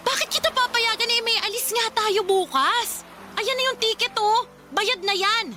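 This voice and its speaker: A woman's voice: very high voice, moderate pace, heavy background noise. A woman playing an insistent, hurt girlfriend who demands to come first, in a Filipino radio drama. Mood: exasperated. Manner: emphatic, indignant, argumentative. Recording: music behind the voice.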